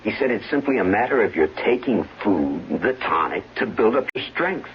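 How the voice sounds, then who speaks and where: An actor playing a solicitous, evasive husband, coaxing and protesting earnestly in an old-time radio drama. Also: male voice, lowish voice, expressive, moderate pace, close to an everyday voice. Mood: hesitant, smooth. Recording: muffled, hissy, humming old radio recording.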